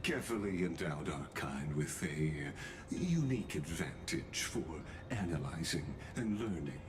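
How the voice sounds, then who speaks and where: A man playing a formal, measured and scheming scholarly councillor in a video game, with courteous deference. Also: animated. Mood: polite. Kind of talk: scripted video game dialogue. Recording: game audio with clear rumbling noise.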